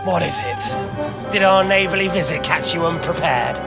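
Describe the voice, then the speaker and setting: Sneering, gravelly medieval villain lord. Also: male voice, high-pitched, normal speed, over loud music. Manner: sneering, threatening. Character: menacing, foul-mouthed, haughty villainous medieval lord. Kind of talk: video game cutscene acting.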